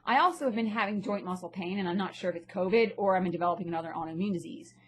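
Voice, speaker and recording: A woman's voice: lowish voice, very fast. A woman talking bluntly and indignantly in a personal vlog, sounding a little stuffy from a sinus infection. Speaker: vlogger and actress. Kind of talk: ranting personal vlog monologue.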